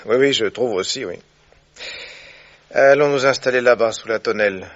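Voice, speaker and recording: An actor playing a keen, courteous gentleman detective in a French radio drama, speaking in a deliberate, theatrical way. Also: mid-range voice, natural voice. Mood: assured, explaining. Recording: dull, muffled old radio recording.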